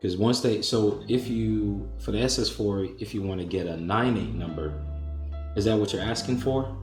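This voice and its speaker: A man hosting a conference call, answering a caller's questions in a measured, explanatory way with some restarts. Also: on the low side, darkish tone, roomy sound, soft background music.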